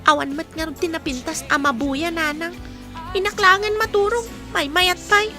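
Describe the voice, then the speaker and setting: A woman acts out a schoolgirl eaten up by envy in an audio drama. Her voice is extremely high and sulky, complaining and plotting revenge, with clear music playing behind her. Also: fairly even intonation, normal speed. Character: bitter, envious, vengeful schoolgirl. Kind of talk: Ilocano audio drama acting.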